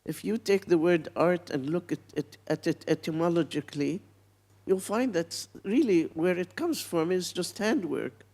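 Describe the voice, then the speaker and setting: A woman's voice: fairly low pitch, darkish tone. A woman in her eighties, from Palestine, speaking bluntly and reflectively as an artist on a panel. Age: 80s. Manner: blunt, reflective, unhurried, with pauses. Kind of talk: panel talk.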